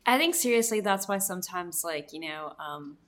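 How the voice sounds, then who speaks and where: A woman whose first language is Spanish, co-hosting a library podcast in a relaxed chat full of fillers. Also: fairly low pitch, moderate pace. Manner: chatty, many fillers like "like" and "you know". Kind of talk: casual chat.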